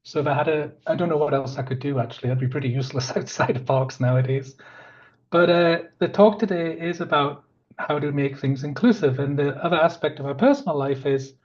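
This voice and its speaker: A man in his fifties, a park planning manager, presents a webinar in a croaky voice, talking in an earnest, conversational way with many fillers. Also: fairly high pitch, fairly quick. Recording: dull lo-fi audio.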